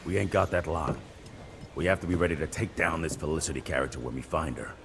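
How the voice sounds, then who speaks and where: An actor playing a blunt, terse frontier agent who hunts monsters. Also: male voice, a bass voice, fast talker, a bit bright, slight background noise. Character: gruff male frontier monster-hunting agent, steady. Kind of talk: video game cutscene voice acting.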